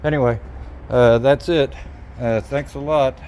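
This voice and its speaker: A middle-aged man talks through a hands-on job in a medium-pitched voice. He is folksy and unscripted, feeling his way as he explains. It sounds like a phone recording made outdoors, with noticeable ambient noise around him. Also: steady tone, a little slow, a little mellow. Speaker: DIY video maker. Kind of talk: casual hands-on how-to narration. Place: outdoors at an open truck cab.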